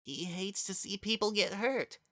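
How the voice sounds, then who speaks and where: A man voicing a gentle, brave young boy in a dramatic story reading, speaking softly and tenderly. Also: high voice, dry recording, studio quality, voice pushed up. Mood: gentle sympathy. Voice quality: soft, hushed. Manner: slow, tender, steady.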